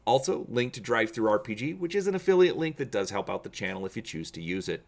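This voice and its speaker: A man reading an adventure gamebook aloud as a channel host, with measured, theatrical, deliberate phrasing. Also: medium pitch, big pitch swings, creaky. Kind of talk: dramatic audiobook-style reading of an adventure gamebook.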